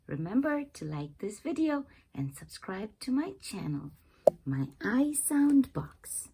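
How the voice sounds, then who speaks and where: A woman's voice: medium pitch, sing-song, takes it slowly, gravelly, dark tone, slight background noise, poor audio. A woman reading a picture book aloud to young children in a teacherly manner, putting questions to the listeners. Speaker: children's read-aloud channel host.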